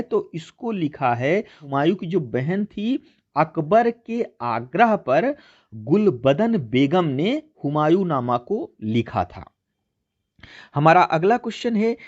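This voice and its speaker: A man's voice: on the high side, darkish tone, muffled recording. A man presenting an exam-prep lesson as a teacher, explaining answers and often posing questions that he then answers himself. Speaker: teacher presenting a history quiz.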